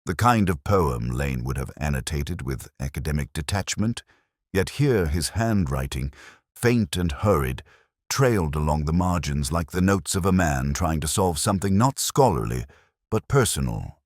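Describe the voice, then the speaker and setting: A man playing a contemplative, brooding police detective, narrating a mystery audio drama in the first person with measured, solemn, literary delivery. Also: rumbling low, animated, no echo, studio quality. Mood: brooding, reflective. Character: brooding, contemplative male police detective recounting a murder case.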